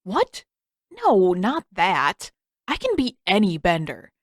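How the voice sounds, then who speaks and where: A woman's voice: fairly low pitch, sing-song, takes it slowly, no echo, studio quality, heavily acted. A woman voicing a cocky, competitive teenage boy in a storybook, boastful and griping. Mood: indignant, boastful.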